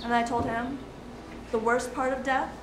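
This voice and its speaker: A woman's voice: on the high side, little pitch movement, moderate pace. A woman reciting a literary piece aloud. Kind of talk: recitation of poetry. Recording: distant mic, echoey room, clear noise.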